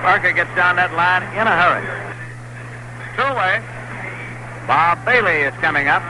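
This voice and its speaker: A man calling a baseball game play by play on the radio, brisk and excited on big plays. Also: sits high. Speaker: play-by-play announcer. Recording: hissy 1960s radio broadcast.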